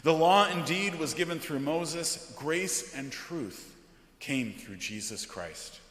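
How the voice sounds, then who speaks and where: A man, a pastor, speaking solemnly and deliberately from a church pulpit in a liturgical setting. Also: a little high, expressive, a bit bright. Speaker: Lutheran pastor. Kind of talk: liturgical scripture reading. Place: large church interior, from the pulpit. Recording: echoey church with long reverb.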